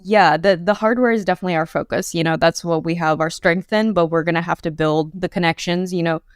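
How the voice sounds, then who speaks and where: A young adult woman as a guest on a podcast interview, talking casually with lots of fillers and quick laughs. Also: fairly low pitch, fairly even intonation, smooth, no echo, studio quality. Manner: chatty, many fillers, laughs often. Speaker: guest, quantum hardware startup.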